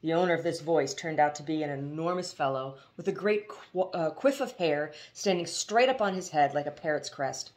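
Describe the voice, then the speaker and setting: A woman reading a novel aloud as expressive storybook narration. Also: deep voice, normal speed, hardly any pauses. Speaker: audiobook reader.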